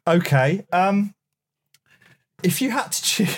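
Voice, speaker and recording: A man's voice: sits high, animated, takes it slowly. Man, podcast co-host, reads questions, mock-formal, casual chat.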